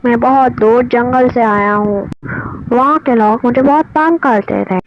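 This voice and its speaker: A woman's voice: fairly high pitch, measured pace, close to an everyday voice. A woman voicing a friendly, helpful young male bird in a children's cartoon, simple and eager. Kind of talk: children's cartoon story dub. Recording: dull, faintly noisy, radio-like.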